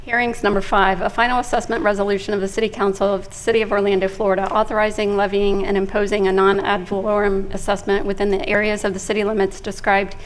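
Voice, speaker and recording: A woman clerk reads a long legal resolution very fast in a flat, even tone. The reading is formal and unbroken, with faint room noise behind her. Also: mid-range voice. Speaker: city clerk. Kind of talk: reading a resolution title aloud.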